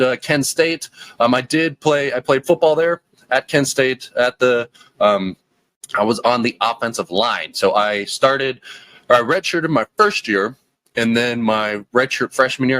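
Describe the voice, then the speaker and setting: A man's voice: mid-range voice, a bit bright, no room sound. A man in his late twenties hosting a podcast, talking casually in a rambling, self-deprecating way with lots of fillers and restarts. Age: 20s. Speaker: podcast host.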